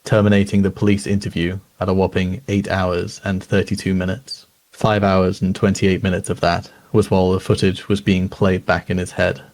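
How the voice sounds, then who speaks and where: A man playing a sober news reader, narrating a crime documentary in a measured, grave and formal way. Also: sits low, level tone, darkish tone.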